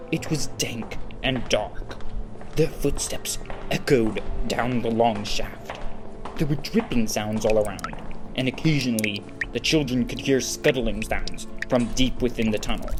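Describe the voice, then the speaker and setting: Man narrating a story over loud music. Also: medium pitch.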